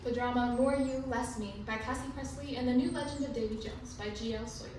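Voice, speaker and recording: A woman's voice: fairly high pitch, steady tone, brisk, darkish tone. A woman performing scripted recitation in readers theatre. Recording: echoey room recorded from far off, clear noise.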